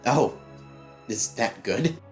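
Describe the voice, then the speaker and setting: A man's voice: medium pitch, lively intonation, slow pace, faint music behind. A man playing an awkward, unsure young novice fighter in a fan audio drama, hesitant and sheepish. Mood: curious, unsure.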